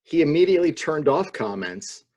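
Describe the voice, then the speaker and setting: Husky, animated American man, teasing. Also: a little high, moderate pace. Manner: wry, teasing. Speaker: podcast co-host. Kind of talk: casual chat. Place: video call from lockdown. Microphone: video call microphone.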